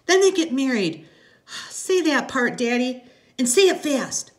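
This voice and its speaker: An adult narrator plays a small, eager girl from a storybook. The voice jumps high and loud, shrill and insistent, with a playful, bossy streak. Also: sing-song, unhurried. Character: excitable little girl, bossy and playful daughter.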